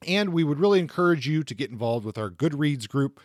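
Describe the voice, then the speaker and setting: A man's voice: on the high side, animated, a little fast, no room sound, studio quality. A man co-hosting a podcast, talking through a literary biography from notes in an informal, conversational way. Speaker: podcast co-host. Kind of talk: semi-scripted literary biography talk.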